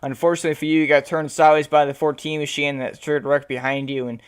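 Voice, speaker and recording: A man with a hoarse, fading voice interviewing sim racing drivers after a race, rambling with many fillers. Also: on the high side, flat delivery, fast talker. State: losing his voice.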